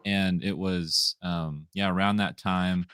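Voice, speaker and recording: Young man, very low voice, dry close mic, radio-style, relaxed and rambling. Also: slow pace, clear, resonant tone, no room sound. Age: 20s. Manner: easygoing, meandering sentences. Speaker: comic book writer guest. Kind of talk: casual interview chat.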